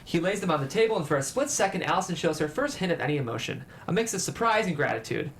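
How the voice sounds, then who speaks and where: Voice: mid-range voice, lively intonation, fast talker, slight background noise. A narrator reading scene headings and stage directions at a screenplay table read, in scripted narration.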